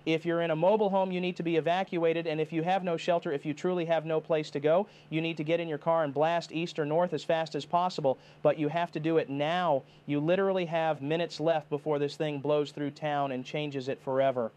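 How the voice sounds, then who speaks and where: A man's voice: on the high side, level tone, fairly quick, few pauses, darkish tone, clear, resonant tone, muffled recording, lightly acted. A man playing a TV meteorologist in an analog horror drama, giving a live severe weather warning in a grave, insistent manner, addressing viewers directly. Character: urgent male TV meteorologist warning of deadly tornado. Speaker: TV weather forecaster.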